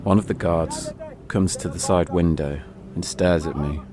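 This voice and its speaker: Very deep-voiced man telling a suspenseful story. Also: fairly even intonation, measured pace. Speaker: storyteller and podcast host. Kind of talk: dramatic first-person travel narration.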